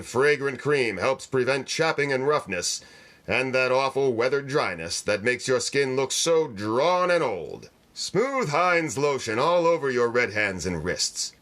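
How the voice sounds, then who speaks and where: A persuasive vintage radio announcer acted by a man speaks in a medium-pitched voice. His polished, emphatic lines rise and fall with lively salesmanship in a 1940s style.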